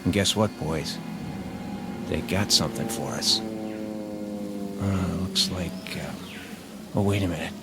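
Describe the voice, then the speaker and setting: An American actor playing a sardonic, war-weary infantry soldier who wisecracks in a radio drama. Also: male voice, lowish voice, takes it slowly, long pauses, loud music behind the voice. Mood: teasing, watchful. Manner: drawling, sardonic.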